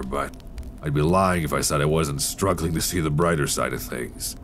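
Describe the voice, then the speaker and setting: A man playing a weary, grim survivor on an icebound ship in a horror ASMR roleplay, talking low-key and intimately to one listener. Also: slight background noise. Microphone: close binaural microphone. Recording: close binaural with steady storm-like ambience.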